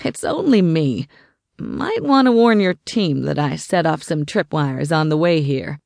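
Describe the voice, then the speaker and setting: Laid-back joking man, slightly lower and drawled, voiced by a woman narrator. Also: measured pace, creaky, no echo, studio quality, full character voice. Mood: teasing. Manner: laughing, teasing, relaxed. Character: teasing grown man, prankster investigator.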